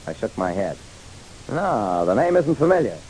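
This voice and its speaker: A man's voice: fairly low pitch, sing-song, moderate pace, darkish tone, poor audio. An actor playing a hard-boiled private detective narrating his casebook in a wry, dramatic, punchy radio style. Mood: wry, matter-of-fact. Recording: hissy old radio recording.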